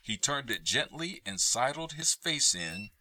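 A man narrating a radio play as a scripted story, with measured storytelling delivery. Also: medium pitch, very animated, pushed and bright.

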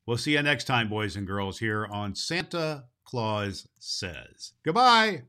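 A man's voice: lowish voice, big pitch swings, slow pace, pushed and bright, clear, resonant tone, full character voice. A man playing a jolly, kindly old Santa Claus, hosting a children's show in a folksy, rambling, emphatic way. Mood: cheerful, promotional. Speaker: show host.

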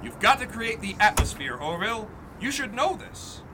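An actor playing a breezy, boastful young college chum of the 1920s, jaunty and mock-formal, in a period audio drama. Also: male voice, sits high, very animated, moderate pace, background noise. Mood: proud, breezy.

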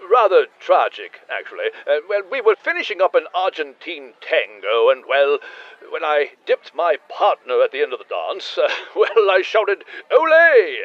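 A man's voice: on the high side, wildly swooping pitch, moderate pace, no echo, lo-fi sound. A man voicing a huge, genteel British whale, posh and bumbling, heard over a speakerphone in a comic audio drama. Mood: sheepish. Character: huge, genteel, modest adventurer whale, male.

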